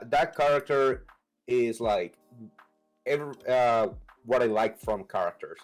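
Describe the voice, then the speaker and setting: A young man from Venezuela speaks English with a Venezuelan Spanish accent in a lowish voice. He answers interview questions haltingly, pausing on fillers and starting over. Soft music plays faintly underneath. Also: poor audio. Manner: halting, hesitant, many fillers and restarts. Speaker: competitive fighting game player.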